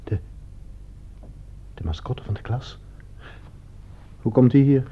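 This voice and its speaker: An actor playing a nervous, easily offended addict in a radio play, hesitant and stammering with wariness. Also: male voice, medium pitch, animated, slow pace. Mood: suspicious, uneasy. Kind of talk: Dutch radio play acting. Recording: muffled, noisy old radio play recording.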